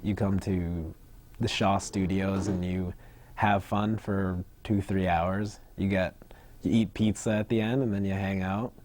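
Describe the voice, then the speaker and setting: Deep, casual man. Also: flat delivery, a little slow, darkish tone. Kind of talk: casual testimonial.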